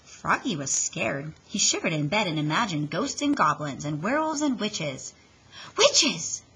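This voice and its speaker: A woman reading a children's story aloud in an expressive, dramatic way, leaning on the sound words. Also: lowish voice, normal speed, slight background noise, low-quality recording.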